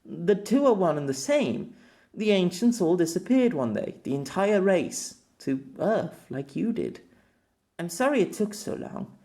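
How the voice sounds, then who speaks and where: A man's voice: high voice, sing-song, unhurried, dark tone, full character voice. A man reading as a grieving empress's farewell. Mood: solemn farewell.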